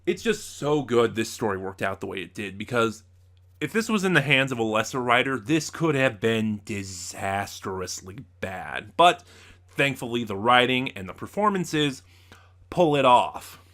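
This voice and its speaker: Bright, forceful man giving a casual review. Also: medium pitch, very animated. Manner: halting, thinking aloud, often backtracking and rephrasing. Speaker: audio drama reviewer, channel host. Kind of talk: casual spoken review to viewers.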